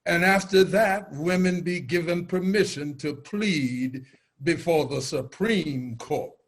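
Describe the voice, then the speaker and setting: Slow, oratorical senator played by a man. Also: fairly high pitch. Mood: earnest, resolute. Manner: deliberate, oratorical. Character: earnest 19th-century senator championing women's rights. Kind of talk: reader's theater speech.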